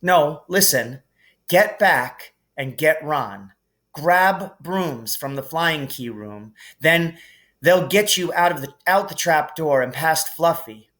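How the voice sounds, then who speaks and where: A man's voice: fairly high pitch, lively intonation, slow, a bit bright, voice pushed up. A modest, brave schoolboy wizard from a children's storybook, as a man reads him aloud. He speaks plainly and earnestly, with an urgent, worried edge.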